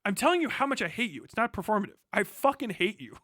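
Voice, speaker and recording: A man's voice: high voice, sing-song, quick pace, sharp tone, no echo, clean studio sound. A man giving a casual, blunt, profanity-heavy livestream rant as host, talking straight to his viewers. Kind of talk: casual livestream commentary, reacting to viewer chat.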